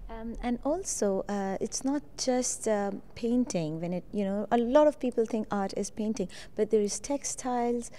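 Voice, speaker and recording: Effusive, warm-toned woman TV host. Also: on the high side, normal speed, few pauses. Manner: effusive, admiring, with fillers. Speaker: TV show host. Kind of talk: on-location TV interview. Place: indoors at a school art exhibition.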